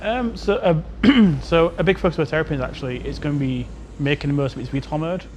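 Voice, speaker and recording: A man speaking casually as a game developer guest on a panel, informal and rephrasing as he goes. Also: quick pace. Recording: very loud engine rumble over voice.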